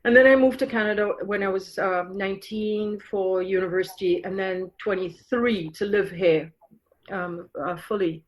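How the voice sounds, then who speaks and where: A middle-aged woman from Trinidad, speaking at a middle pitch. She talks reflectively and thinks aloud, often restarting a sentence or reaching for a word. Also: fairly even intonation, normal speed, poor audio. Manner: reflective, with frequent fillers and restarts. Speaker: guest author. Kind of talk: conversational podcast interview.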